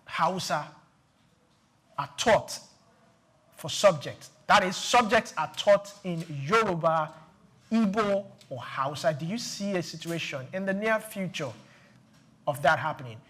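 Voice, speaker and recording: A man with a slightly high voice poses a careful, two-part question to a guest. He sets out each item in turn, deliberately and one at a time. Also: fairly bright tone. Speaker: interviewer. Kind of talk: interview questions.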